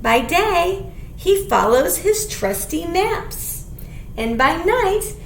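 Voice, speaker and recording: A woman's voice: very high-pitched, slow pace. A middle-aged woman leading a children's storytime, reading aloud expressively as if to young listeners. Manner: expressive, clearly addressing young children, with simple questions. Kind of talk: animated children's picture-book read-aloud. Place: small indoor room. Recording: echoey small room, background hiss.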